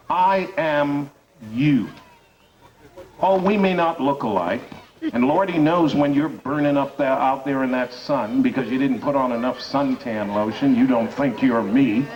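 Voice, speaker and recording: Slow, distant man giving a rousing speech. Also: fairly high pitch, slight background noise. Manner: emphatic, repetitive rhetorical build-ups. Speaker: radio talk host giving a speech. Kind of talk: rousing public speech to an audience. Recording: dull, distant recording.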